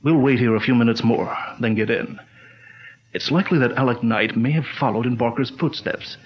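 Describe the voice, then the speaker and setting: An actor playing a calm, commanding male crime-fighter chief in a 1940s-style radio drama, speaking in a measured, steady way. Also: mid-range voice, soft background music, close to an everyday voice. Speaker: lead actor. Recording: dull lo-fi old radio recording.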